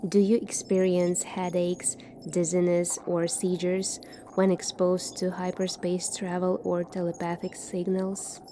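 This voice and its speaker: Slow, soft-spoken woman as a doctor. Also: lowish voice, completely flat delivery, a little hiss or hum. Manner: soft-spoken, measured questioning. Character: calm seasoned future military doctor examining a space recruit. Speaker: ASMR roleplay performer. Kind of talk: ASMR medical roleplay.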